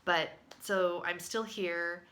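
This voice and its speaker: Congested-sounding woman with a low, bright voice. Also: steady tone, slow pace. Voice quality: congested, nasal. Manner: chatty, with many fillers and restarts. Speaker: vlogger sharing a health diary. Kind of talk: casual video diary update.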